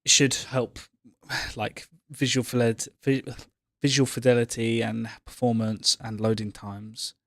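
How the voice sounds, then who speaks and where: Guy on a gaming stream just chatting away, mid pitched voice, dry close audio. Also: level tone, unhurried, fairly bright tone, no room sound. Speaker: live stream co-host. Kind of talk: casual gaming chat.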